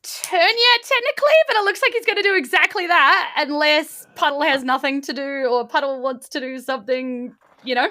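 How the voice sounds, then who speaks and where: A woman's voice: high-pitched, moderate pace, few pauses, bright, forceful tone, no room sound. A woman improvising narration as the game master of a tabletop roleplaying game, in an informal way.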